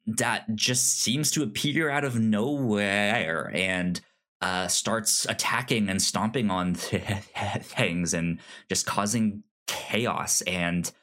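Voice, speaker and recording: A podcast co-host in a casual film discussion, talking informally and haltingly with repeated stammered starts. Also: deep voice, pushed and bright.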